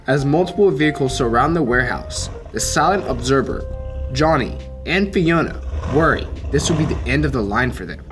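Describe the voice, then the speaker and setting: A young man giving dramatic scripted narration as the narrator of a radio mystery. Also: medium pitch. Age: young adult.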